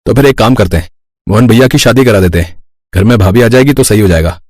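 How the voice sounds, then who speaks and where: Man voicing a quick, bossy young brother, cartoon, dry close mic. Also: on the low side, a little mellow, dry recording, natural voice. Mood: grumbling.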